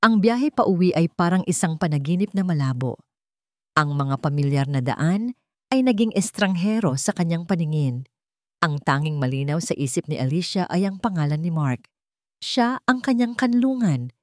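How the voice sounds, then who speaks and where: A woman reading a scripted romance drama in a solemn, emotive storytelling manner with deliberate phrasing. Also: lowish voice, lively intonation, dry recording, clean studio sound. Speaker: narrator.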